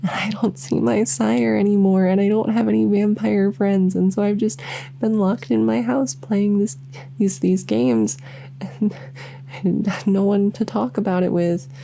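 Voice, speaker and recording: A woman's voice: medium pitch, steady tone, a little slow, gravelly, warm tone, slight background noise. A woman voicing a lonely, socially awkward vampire who rambles enthusiastically in an ASMR audio roleplay. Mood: shy, lonely. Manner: rambling, enthusiastic info-dumping, many fillers. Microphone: close ASMR microphone.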